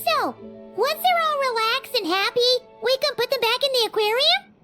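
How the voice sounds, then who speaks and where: A woman voicing a small, excitable, childlike fairy companion in an anime game, squeaky, chatty and exclamatory. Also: normal speed, soft background music, full character voice. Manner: speaks of herself in third person, exclamatory.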